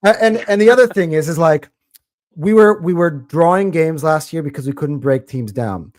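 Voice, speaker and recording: A man's voice: a little high, moderate pace, darkish tone, no echo, clean studio sound. A man with an American accent co-hosting a casual sports podcast, talking animatedly and rambling with many fillers. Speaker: podcast co-host.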